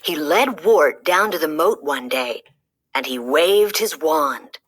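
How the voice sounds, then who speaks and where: A woman reads a children's storybook aloud in a slow, unhurried way. Her voice sits a little low but swoops up and down with lively expression. She leans into each line like a storyteller. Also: breathy edge. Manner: slow, lively storytelling with dramatic pitch swings. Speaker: storybook reader. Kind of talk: children's read-aloud narration.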